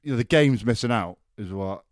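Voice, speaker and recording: Welsh man chatting with a lively low voice. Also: brisk, no echo, clean studio sound. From Wales. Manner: joking banter, laughs often. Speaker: podcast co-host. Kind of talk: casual chat.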